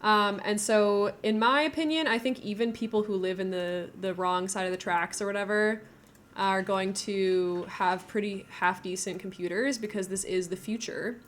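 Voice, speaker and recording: A woman streams a building game and chats as she goes. She thinks out loud in a casual, giggly way, at a middling pitch. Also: fairly even intonation, normal speed, slight background noise. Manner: chatty, thinking aloud, giggly.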